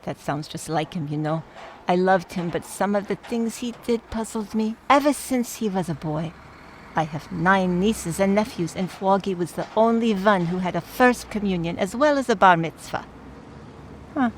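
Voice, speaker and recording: A woman's voice: mid-range voice, moderate pace, slight background noise, lightly acted. A woman playing a fond, opinionated, wry older aunt in mourning, in radio play dialogue. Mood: fond, puzzled.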